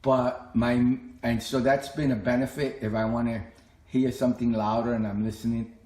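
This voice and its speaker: A middle-aged man talks through his setup in a plain, conversational manner. His pitch is average and he circles back over his points as he explains. The recording has a clear echo of an indoor room. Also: little pitch movement, moderate pace, a little mellow. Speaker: home tech vlogger. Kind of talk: casual explanatory home walkthrough.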